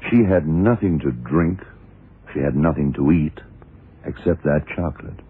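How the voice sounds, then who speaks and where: Very deep, husky radio detective. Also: male voice, moderate pace, full character voice. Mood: puzzled, methodical. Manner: clipped, matter-of-fact questioning. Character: blunt, probing male police detective, unflappable and dry. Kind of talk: 1970s radio crime drama acting. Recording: tinny, band-limited old radio recording.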